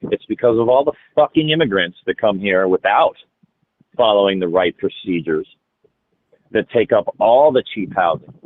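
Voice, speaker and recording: A man in his forties calling in to a heated political debate, combative and profane, lecturing his opponent. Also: fairly low pitch. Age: 40s. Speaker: caller. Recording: tinny phone-like line with faint noise.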